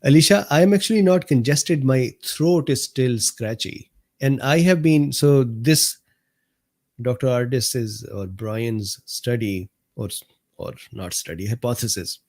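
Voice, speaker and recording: Slightly hoarse man hosting a live stream. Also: mid-range voice, a little mellow. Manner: unhurried, laughs often, addresses viewers by name. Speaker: host of a live medical talk stream. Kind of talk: casual livestream chat reading viewer comments.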